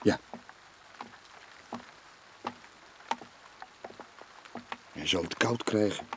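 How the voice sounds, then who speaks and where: An actor playing a weary, guilt-ridden middle-aged sailor with a Flemish accent, in a brooding radio drama style with a husky, breathy voice. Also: male voice, low-pitched, background noise, lightly acted. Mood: uneasy, reluctant. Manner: brooding, halting confession. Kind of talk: 1980s Dutch-language radio drama acting.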